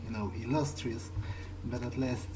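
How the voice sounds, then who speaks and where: A man's voice: medium pitch, fairly even intonation, normal speed, lightly acted, poor audio. A man playing a cautious, wry vampire fledgling in an improvised video game playthrough, thinking aloud hesitantly. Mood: musing, hesitant. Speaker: gamer playing in character. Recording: game music under the voice.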